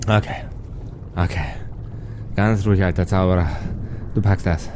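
A man's voice: sits low, steady tone, measured pace, voice pushed down. Man voicing a flustered, stammering old wizard, background noise. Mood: muttering, anxious. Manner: stammering, flustered, muttering repetitions. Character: nervous, exasperated old male wizard, grumbling and dodging trouble.

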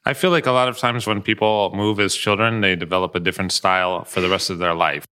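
A man's voice: low-pitched, steady tone, quick pace, sharp tone, clean tone. A man speaking as a podcast host and interviewer. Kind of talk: scripted sponsor read and conversational interview.

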